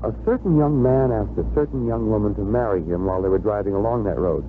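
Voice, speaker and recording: A man's voice: on the low side, quick pace, close to an everyday voice. An American man playing a confident, wry, teasing young fiancé in 1950s radio drama style. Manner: wry, bantering. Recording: muffled vintage radio recording, faint hiss.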